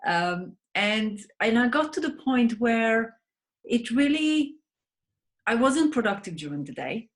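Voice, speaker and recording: A woman's voice: a little high, big pitch swings, slow pace. Hungarian-accented woman telling her story. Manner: earnest, storytelling, frequent restarts. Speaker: guest, sleep advocate. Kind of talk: interview conversation.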